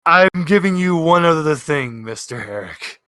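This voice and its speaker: High, bright-voiced male game master. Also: animated, measured pace, no echo, studio quality. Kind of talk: narrating a tabletop game, casual.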